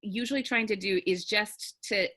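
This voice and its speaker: A woman theatre teacher with a low, authoritative register, explaining things thoughtfully in an online group discussion. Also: moderate pace, sharp tone. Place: video call.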